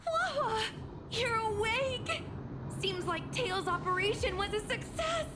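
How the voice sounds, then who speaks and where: A woman's voice: very high-pitched, lively intonation, slow, heavily acted. A woman voicing a bubbly, loyal young girl in an anime-style game, perky and eager. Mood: excited. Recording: loud background music.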